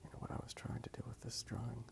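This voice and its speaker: A man speaking softly in a casual, rambling ASMR style as he draws. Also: medium pitch, completely flat delivery, fast. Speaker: ASMR video creator. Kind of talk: whispered ASMR rambling while drawing.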